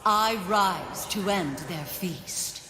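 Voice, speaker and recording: Slow, echoing sun goddess. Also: female voice, mid-range voice, animated, sharp tone, full character voice. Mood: grave warning. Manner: stately, grandiose, deliberate. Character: proud solemn sun goddess, ancient and commanding. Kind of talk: scripted video game character lines. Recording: echoing hall reverb, faint ambient noise.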